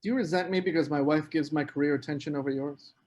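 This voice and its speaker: A man's voice: fairly high pitch, steady tone, fast talker, a little mellow, dull audio, natural voice. A man playing a proud, earnest writer in a period literary drama table read, speaking in formal phrases. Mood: affable, teasing. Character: Geoffrey Aspen, proud, earnest male writer.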